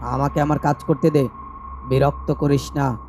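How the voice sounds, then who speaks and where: A man's voice: mid-range voice, little pitch movement, normal speed, darkish tone, pitched below the performer's own voice, close to an everyday voice. A man voicing a curt, irritable sorcerer in a horror cartoon, giving dismissive orders. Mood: irritated. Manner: dismissive, commanding. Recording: faint noise behind the voice.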